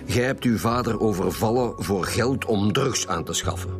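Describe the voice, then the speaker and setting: A man's voice: lowish voice, moderate pace, over music, natural voice. A man playing a tough, sardonic police commissioner interrogating a suspect in a radio drama, blunt and impatient, with a Flemish accent. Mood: menacing. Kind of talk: naturalistic radio drama dialogue.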